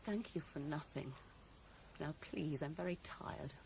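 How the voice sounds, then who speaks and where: Low-voiced, grieving, guarded woman. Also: a little slow, vocal fry, darkish tone. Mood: weary, cold. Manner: wistful, curt with her questioner. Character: grieving, guarded, bitter woman recovering from a fatal accident. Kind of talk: 1980s radio drama acting. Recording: noisy 1980s radio broadcast recording.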